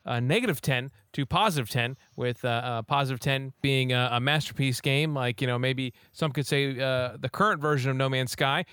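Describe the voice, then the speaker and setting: A man's voice: mid-range voice, moderate pace, few pauses, clear, resonant tone, no room sound. Male podcast host, easygoing and rambling, dry close recording. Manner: relaxed, rambling with fillers. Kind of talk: casual game review chat.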